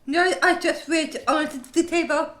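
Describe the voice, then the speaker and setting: A woman's voice: sits high, fairly even intonation, measured pace, fairly bright tone. An actress playing a cheeky, fun young servant girl who is always in trouble, in an audio play.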